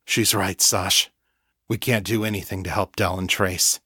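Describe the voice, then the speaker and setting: A man voicing a practical, insistent male teammate in an audiobook, keeping steady under pressure. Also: sits low, measured pace, breathy edge, no echo, clean studio sound, pitched below the performer's own voice, heavily acted. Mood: urgent, steady. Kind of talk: audiobook character acting.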